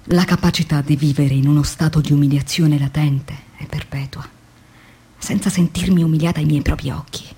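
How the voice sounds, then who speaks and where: A woman's voice: low voice, fairly even intonation, moderate pace, warm tone, husky. An actress playing an earnest, weary woman factory worker in a radio drama monologue, reflective and intense in a theatrical way. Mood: sombre, resigned. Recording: noisy radio drama with background ambience.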